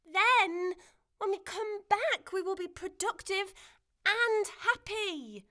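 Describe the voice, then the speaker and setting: A woman's voice: very high voice, animated, slow pace, bright, forceful tone, exaggerated voice. A woman playing a brave, kind-hearted young girl in a family audio drama, earnest and hopeful. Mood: earnest, pleading.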